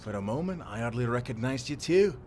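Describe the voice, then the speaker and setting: A man playing a kindly old seafaring grandfather in an audio drama, speaking calmly and reassuringly. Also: mid-range voice, fairly quick, slight background noise, natural voice. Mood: warm. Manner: calm, reassuring, folksy. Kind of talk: cinematic audio roleplay acting.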